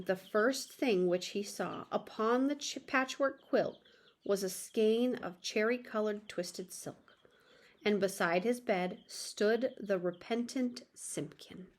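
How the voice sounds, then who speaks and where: A woman reading a children's story aloud in an expressive storytelling manner. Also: medium pitch. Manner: expressive, addresses young listeners.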